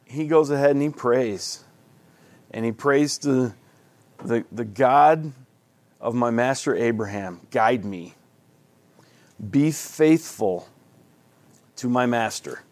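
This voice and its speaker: A man walks a group through scripture in an easygoing, homespun manner, stopping and restarting his thoughts as he goes. He speaks slowly, at a middling pitch. The sound is dry and close, with no room echo. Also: darkish tone. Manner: folksy and conversational, with frequent restarts. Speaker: Bible study leader. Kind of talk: casual Bible study teaching.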